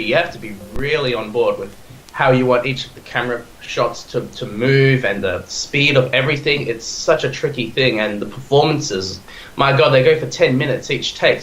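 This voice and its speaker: A young Australian man in his twenties, chatting eagerly as a podcast guest. His talk rambles, and he restarts his sentences and leans on fillers. His voice sits at a middling pitch and sounds echoey, as if recorded in a bare room. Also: fairly bright tone, slight background noise. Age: 20s. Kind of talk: casual chat.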